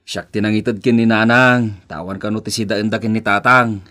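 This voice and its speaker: A man's voice: on the low side, fairly even intonation, normal speed, sharp tone. A Filipino man with an Ilocano accent acting a bewildered young husband in a radio drama, questioning and pleading. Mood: puzzled. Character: bewildered husband caught between wife and mother.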